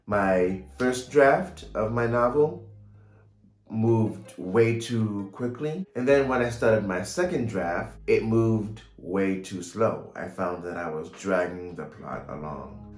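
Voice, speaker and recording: A man in his thirties talking casually to camera in a vlog, measured, pausing between phrases. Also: fairly low pitch. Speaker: writer and vlogger. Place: small home room, indoors. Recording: roomy echo, light music behind.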